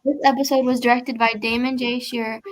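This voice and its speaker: A woman's voice: fairly high pitch, flat delivery, a little fast, soft background music, low-quality recording. A woman hosting an old-time style radio show, reading scripted announcements in a polite, formal, presentational way.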